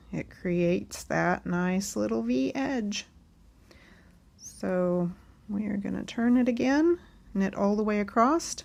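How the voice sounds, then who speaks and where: A woman hosting a casual knitting tutorial, chatting along as she knits and a bit hoarse from losing her voice. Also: medium pitch, a little mellow. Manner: chatty and friendly, talks while knitting.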